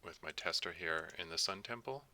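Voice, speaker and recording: A man talking casually as he hosts a screen-recorded software tutorial. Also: low voice, animated, a little fast. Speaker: tutorial host, game developer.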